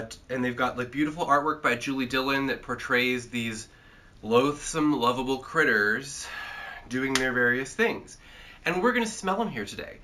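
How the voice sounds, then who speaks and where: A congested man with a bright, forward tone hosts a relaxed chat. He rambles and jokes, filling pauses with plenty of filler sounds, and his voice sits at an ordinary middle pitch. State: congested from a cold. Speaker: host. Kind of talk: casual chat.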